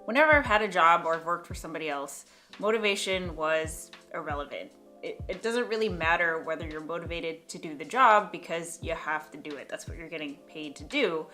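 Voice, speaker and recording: Bright-voiced woman vlogging, thinking aloud. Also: low-pitched, animated, a little fast, faint music behind. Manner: thinking aloud, hesitant restarts and trailing off. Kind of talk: reflective talking-head vlog monologue.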